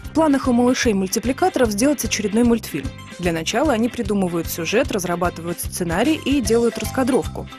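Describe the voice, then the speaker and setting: A woman's voice: fairly high pitch, lively intonation, fast, a little mellow. Woman reporter narrating over music. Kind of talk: scripted narration of a TV feature.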